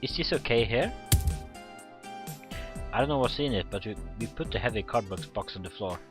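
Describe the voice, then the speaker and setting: A man with a fairly low voice reads a visual novel aloud as a let's play. He acts out lines and exclamations and trips over the odd word, with game music clearly playing behind him. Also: lively intonation, fairly quick. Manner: acts out dialogue and exclamations, stumbles over words. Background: visual novel background music.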